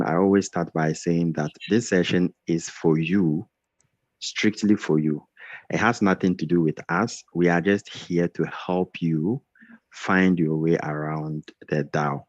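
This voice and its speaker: A man's voice: low voice, a little mellow, no room sound. A man hosting an onboarding session on a video call, earnest and repetitive, often restarting his phrases. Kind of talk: welcoming group presentation on video call. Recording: dull, dry video call audio.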